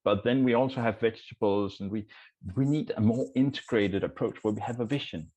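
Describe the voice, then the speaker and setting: A man's voice: fairly low pitch, fairly quick, a little mellow, low-quality recording. A man from Denmark, a food policy professor, speaking earnestly on a panel. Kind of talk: panel talk.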